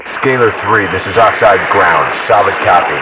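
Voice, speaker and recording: Casting: man as calm, low-pitched soldier, clipped radio procedure, heavy static and noise. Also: normal speed. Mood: businesslike. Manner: procedural, terse, uses call signs. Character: calm male soldier on military radio net. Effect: military radio filter. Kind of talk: clipped military radio chatter. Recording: noisy, distant military radio transmission.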